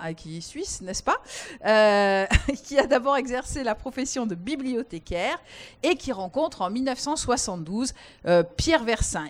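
A woman moderating a panel, introducing the guests and asking questions with many hesitations. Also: fairly high pitch.

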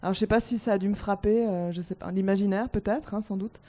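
Mellow-voiced woman telling a childhood story. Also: mid-range voice, fast talker. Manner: lively storytelling, repeats words. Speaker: panel guest. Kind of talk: personal anecdote.

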